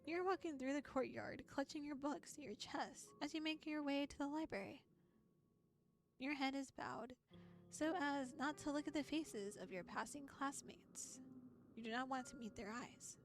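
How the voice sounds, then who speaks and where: A woman voicing an arch, formal female narrator, reading visual novel text in a deliberate, dramatic way. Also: sits high, faint music behind, pitched above the performer's own voice. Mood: dramatic, taunting. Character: arch, ornate female narrator of a dark dream tale.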